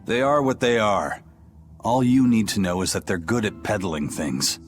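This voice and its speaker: A man playing a gruff, worldly male spirit pirate in a video game, terse and matter-of-fact. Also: lowish voice, animated, normal speed, over music, natural voice. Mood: dry. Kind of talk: video game cutscene acting.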